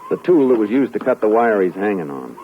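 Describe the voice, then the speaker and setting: Muffled old radio recording, clear hiss: sober, methodical lawman, low voice, clipped delivery. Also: big pitch swings, a little fast. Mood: focused, methodical. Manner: clipped, businesslike questioning. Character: methodical, sober Texas Ranger investigating a murder. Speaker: actor in a radio crime drama. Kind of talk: 1950s radio drama acting.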